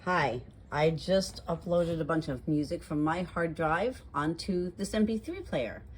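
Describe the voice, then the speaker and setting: Low-pitched woman, noisy recording. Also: unhurried. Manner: informal, explanatory. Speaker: product reviewer. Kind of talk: casual how-to demonstration. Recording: clear background ambient noise.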